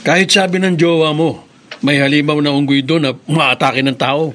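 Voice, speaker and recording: A man acting a cocky, loyal young buddy in a radio drama, expressive and slangy. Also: on the high side, normal speed, natural voice.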